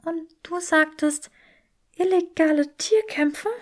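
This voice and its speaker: A woman's voice: very high-pitched, steady tone, slow, pitched above the performer's own voice. A woman voicing a bookish, flustered young woman in an audiobook, murmuring and easily indignant.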